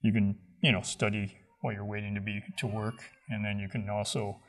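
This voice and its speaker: A man being interviewed as a stunt coordinator, answering in a relaxed, rambling way with many fillers. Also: fairly low pitch, a little fast, slight background noise.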